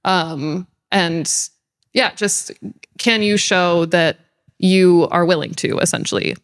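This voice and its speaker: A woman answering a panel question in a casual, quick way, with restarts and filler words. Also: lowish voice. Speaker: alumna panelist.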